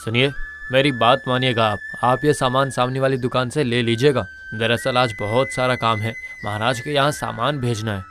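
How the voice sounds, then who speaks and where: A man playing a kindly, conscientious middle-aged village merchant in a moral-story cartoon, earnest and measured. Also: mid-range voice, steady tone, music in the background, close to an everyday voice. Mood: polite.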